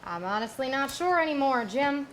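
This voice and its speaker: A woman's voice: high voice, animated, moderate pace, slight background noise. A woman playing a blunt, folksy, cranky starship doctor in a comic stage parody. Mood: deadpan. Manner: blunt, exasperated.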